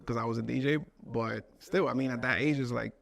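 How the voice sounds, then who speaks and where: A man in his thirties, a studio owner, talking casually as a podcast guest in a relaxed, rambling way with many fillers. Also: mid-range voice, brisk, vocal fry. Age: 30s. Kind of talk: casual interview chat.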